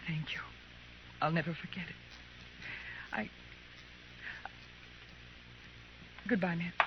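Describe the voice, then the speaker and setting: An actress playing an anxious frontier wife in an old radio western, earnest and pleading in an urgent manner. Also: female voice, on the low side, fairly even intonation, moderate pace, lightly acted. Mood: grateful, subdued. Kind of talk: 1950s radio drama acting. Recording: dull, noisy old radio recording.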